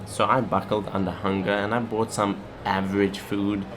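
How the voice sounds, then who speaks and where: A man's voice: lowish voice, level tone, normal speed. A man talking casually as a vlogger, in a chatty, plain-spoken way. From South Africa. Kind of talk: casual vlog talk and voice-over narration. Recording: clearly noisy, ambient background sound.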